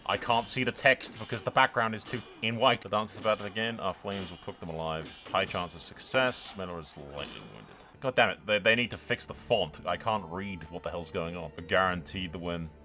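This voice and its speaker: A man giving excited, sweary video game commentary with a theatrical, roleplaying streak. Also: deep voice, fairly quick, hardly any pauses, soft background music.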